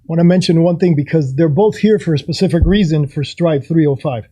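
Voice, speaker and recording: A man's voice: a little high, a little fast, warm tone, husky. A man co-hosting a podcast, talking casually and eagerly, jumping in to add points. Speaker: podcast co-host. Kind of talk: casual chat.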